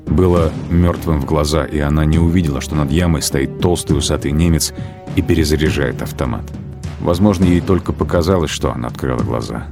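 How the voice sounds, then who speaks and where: A man's voice: a bass voice, a little fast. A man reading scripted literary narration for a radio play, with music behind him.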